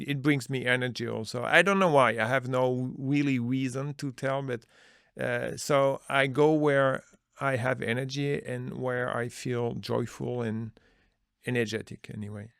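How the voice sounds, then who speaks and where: Earnest man with a gravelly voice. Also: medium pitch, fairly even intonation, a little slow, a bit bright, no echo, clean studio sound. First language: Dutch. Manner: earnest, searching for words, many restarts. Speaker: business manager, interview guest. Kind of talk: conversational interview answers.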